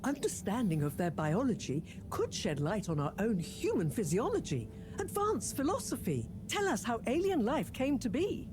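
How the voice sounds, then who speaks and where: An actress playing a driven woman professor who speaks crisply and with authority, over game music. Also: fairly high pitch, moderate pace, few pauses. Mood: brisk. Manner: crisp, authoritative, lively intonation. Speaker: scientist.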